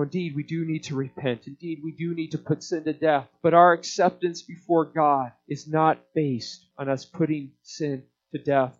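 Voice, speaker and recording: A man preaching a sermon in an emphatic, deliberate expository manner, repeating key points, on a dull band-limited recording. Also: on the high side.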